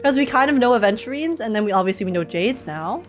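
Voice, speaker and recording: A woman streamer giving casual live reactions to a game, chatty and giggly, thinking out loud. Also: a little high, animated, fast talker, soft background music.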